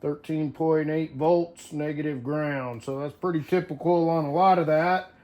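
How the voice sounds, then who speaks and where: A man's voice: fairly high pitch, steady tone, unhurried, a little mellow. A man hosting a casual hands-on show-and-tell video, talking informally and rambling as he thinks aloud. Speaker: video host.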